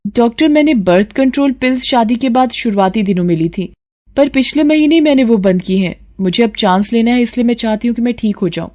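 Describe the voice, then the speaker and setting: A woman's voice: on the high side, brisk, darkish tone, voice pushed up, natural voice. Earnest young wife voiced by a woman. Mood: worried, hopeful. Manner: earnest, polite. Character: worried young married woman, grateful friend and patient.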